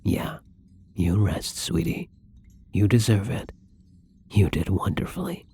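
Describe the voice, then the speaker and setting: Husky, breathy man playing a gentle vampire. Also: on the low side, sing-song, slow, whispered, exaggerated voice. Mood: soothing, tender. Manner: soft-spoken, tender, breathy. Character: gentle, caring young male vampire, tender captor. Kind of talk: intimate ASMR roleplay.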